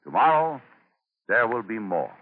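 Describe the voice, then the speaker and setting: A man delivers a scripted commercial as a 1940s radio announcer. His reading is earnest and declamatory, with very lively intonation. The sound is narrow and tinny, like an old broadcast. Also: on the low side, a little slow.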